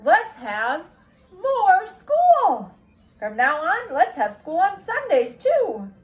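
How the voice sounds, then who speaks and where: A woman voicing a proud, exuberant male school principal in a storybook, with drawn-out, emphatic exclamations. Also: very high-pitched, wildly swooping pitch, pitched above the performer's own voice, full character voice. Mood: exuberant pride. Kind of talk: storybook character read aloud.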